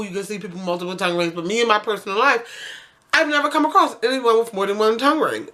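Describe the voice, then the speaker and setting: A woman's voice: mid-range voice, sharp tone. A woman in her twenties casually telling a rambling personal story to her camera, correcting herself as she goes. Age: 20s. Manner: chatty, rambling, self-correcting with frequent fillers. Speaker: vlogger.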